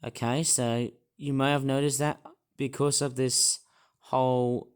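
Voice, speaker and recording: A man with a hoarse, croaky voice, rambling hesitantly through a personal vlog monologue with many restarts. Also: medium pitch, little pitch movement, unhurried. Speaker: YouTuber.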